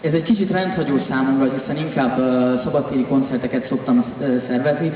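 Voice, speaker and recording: A man's voice: mid-range voice, fairly even intonation, quick pace. A Hungarian man works as a live interpreter, relaying a stage speech to a concert audience. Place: on stage in a large concert hall. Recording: echoey concert hall, muffled sound, audience noise.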